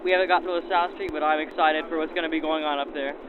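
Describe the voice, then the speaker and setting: Man, casual interviewee, higher pitch, thin vintage radio filter, noisy background. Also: steady tone, racing. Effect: 1940s radio filter. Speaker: car host and volunteer. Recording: noisy phone-like sound aboard a train.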